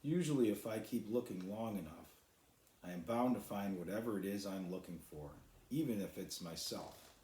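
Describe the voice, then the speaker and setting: A man's voice: fairly low pitch, expressive, moderate pace, warm tone, natural voice. A man voicing a determined, encouraging young boy adventurer from a children's storybook in an echoey room. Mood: earnest.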